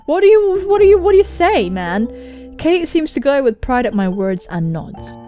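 Animated high-voiced young woman gamer. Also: moderate pace, soft background music. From England. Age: young adult. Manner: animated, jokey, swears freely. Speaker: gaming video host. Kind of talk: let's play commentary and dramatic reading of on-screen dialogue. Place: indoor room. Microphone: large microphone close to the mouth.

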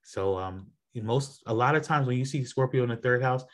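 A man's voice: mid-range voice, brisk. A man leading an online group session on astrology, talking casually with many fillers and restarts. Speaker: astrology presenter.

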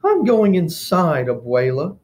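Male narrator putting on a high, dry-witted girl cockroach, storybook. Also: sing-song, unhurried, a little mellow. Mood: excited, anxious. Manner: dry, icy politeness. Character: proud young female cockroach, sharp-tongued.

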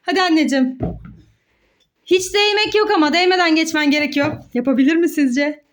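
Very high-pitched, excitable woman. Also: a little fast. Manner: excited, encouraging, sing-song with repeated words. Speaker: mother hosting a children's play challenge video. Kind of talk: lively play-along commentary to child and viewers.

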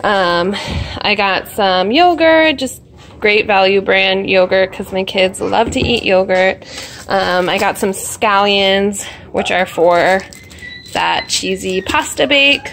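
A woman's voice: medium pitch, slow pace, creaky, fairly bright tone. A young woman chatting casually at home in her kitchen, rambling with lots of fillers and self-deprecating asides while filming on a phone. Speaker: vlogger. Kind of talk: casual grocery haul chat.

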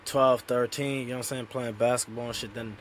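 Young guy on a video call, loose street slang, medium pitch, faint hiss behind. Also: fairly even intonation, a little fast. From Sudan, raised in Australia. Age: 20s. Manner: laid-back street slang, constant "you know what I'm saying". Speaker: guest. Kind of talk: casual interview talk. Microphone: headphones on, video call.

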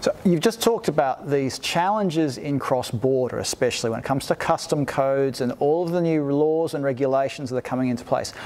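A man with a fairly high-pitched voice conducts an interview for a podcast. He puts his questions conversationally. A faint ambient hum of a large indoor hall is behind him. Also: a little mellow. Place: large glass-walled expo hall, indoors.

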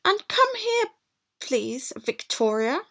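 Woman putting on a high, bossy, snobbish storybook mother. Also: sing-song, takes it slowly, fairly bright tone, full character voice. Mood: stern. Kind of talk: storybook read-aloud.

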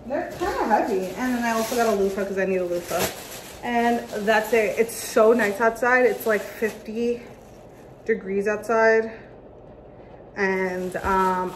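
A woman's voice: on the high side, a little hiss or hum, rough recording. A young woman in her twenties chatting casually to her phone camera at home, rambling with lots of ums and likes. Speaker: vlogger. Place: home living room and car. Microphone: handheld phone at arm's length.

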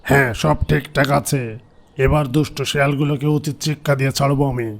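Guy doing a boastful grumpy tiger for a cartoon, creaky voice, kinda flat, threatens everyone. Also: mid-range voice, fast, close to an everyday voice. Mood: boastful, vengeful. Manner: threatening, self-important. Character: grumpy boastful adult male tiger, uncle to the others. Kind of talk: cartoon character voice acting.

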